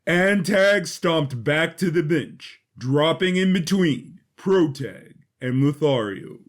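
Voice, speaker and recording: A man's voice: fairly high pitch, expressive, slow. A man narrating a scripted adventure story in the third person.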